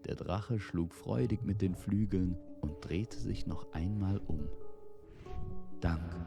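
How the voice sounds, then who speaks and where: A man narrating a fantasy audio story in a measured, solemn, dramatic storytelling style. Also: low voice, vocal fry, over music. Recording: reverberant, with noisy ambience behind.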